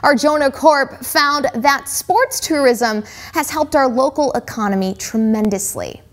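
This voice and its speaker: A woman's voice: high-pitched, measured pace. A woman anchoring local news, reading scripted intros in a polished way.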